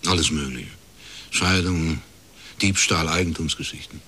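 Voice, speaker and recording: An actor playing a shrewd, dry-witted private detective in a radio crime drama, laconic and deadpan. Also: male voice, rumbling low, moderate pace, slightly rough, heavily acted. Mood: wry, laconic. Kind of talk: naturalistic German radio crime drama acting.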